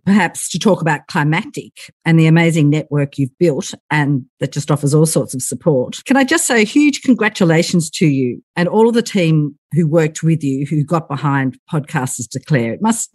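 Woman, podcast host, low husky voice, earnest read. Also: a little mellow. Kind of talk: scripted podcast intro and interview.

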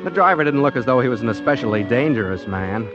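A man's voice: mid-range voice, animated, quick pace, natural voice. A man playing an affable, naive, scatterbrained amateur private detective in a 1940s radio comedy drama. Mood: wry narration. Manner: mild, polite, scatterbrained, hesitant. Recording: muffled vintage 1940s radio recording, music behind.

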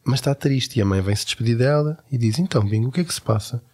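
A man's voice: medium pitch, fast talker, darkish tone, husky. A man with a European Portuguese accent casually retelling a story and acting out quoted lines.

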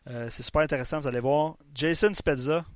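A man's voice: fairly high pitch, fairly quick, dry recording, clean studio sound. A man hosting a hockey show, reading listener trade ideas with many fillers. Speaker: radio show host.

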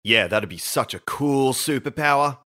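A man narrating a children's story in an expressive, playful style. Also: medium pitch, normal speed.

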